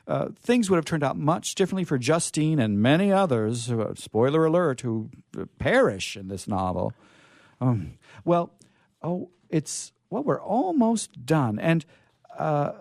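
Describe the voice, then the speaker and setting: A male radio host talks off the cuff in a dry studio with a widely varying, animated pitch. He rambles casually, often halting and restarting his thoughts. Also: dry recording. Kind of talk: casual unscripted on-air chat.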